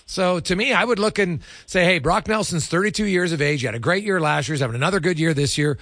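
A man's voice: high-pitched, quick pace, a bit bright, no echo, studio quality. A male sports radio host giving emphatic, opinionated hockey commentary in short, punchy sentences.

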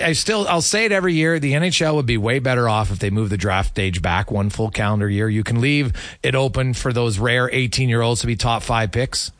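A man's voice: medium pitch, fairly bright tone, no room sound. A man hosts a sports talk show in a conversational interview style. His phrasing is opinionated and emphatic, and his voice sounds dry and close, with no room around it. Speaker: sports radio host.

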